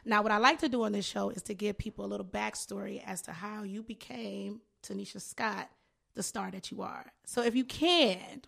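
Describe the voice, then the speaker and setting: A woman's voice: medium pitch, creaky. A woman hosting a podcast, talking in a playful, hyped, slangy way as she interviews a guest. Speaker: podcast host. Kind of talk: casual interview chat.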